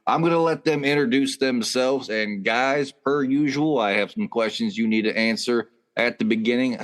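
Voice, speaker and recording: A man hosting a casual sports podcast over a video call, reading sponsor spots and chatting with upbeat energy. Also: medium pitch. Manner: upbeat, reads sponsor spots then chats. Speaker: podcast host.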